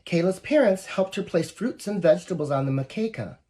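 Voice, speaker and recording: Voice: medium pitch, lively intonation, fairly quick, warm tone. A volunteer reading a children's picture book aloud expressively, with asides that speak straight to young listeners. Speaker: volunteer storybook reader.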